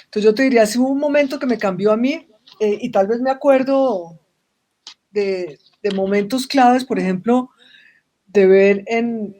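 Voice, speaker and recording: A woman's voice: on the high side, moderate pace, warm tone. A Colombian woman speaking as a webinar guest in a casual, reflective way, often restarting phrases and ending them with tag questions. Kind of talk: casual interview conversation.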